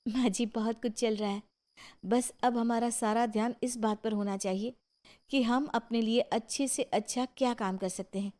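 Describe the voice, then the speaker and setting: Determined young daughter-in-law, voiced by a woman, higher-pitched. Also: steady tone, normal speed, darkish tone, close to an everyday voice. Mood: encouraging, determined. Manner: firm, resolute. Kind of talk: Hindi moral story character acting.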